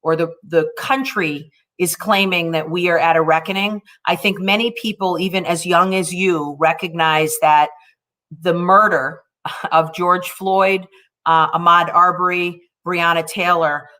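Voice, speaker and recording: A woman's voice: on the low side, fairly even intonation, a little slow, bright, forceful tone. A woman in her forties moderating a panel over a video call, introducing guests in an effusive, encouraging way. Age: 40s.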